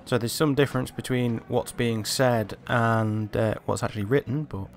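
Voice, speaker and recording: A man's voice: mid-range voice, moderate pace. A man hosting a gameplay video, commenting and reading aloud in voice-over as a reviewer. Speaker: video game reviewer and host. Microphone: voice-over recorded over gameplay. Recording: music behind the voice.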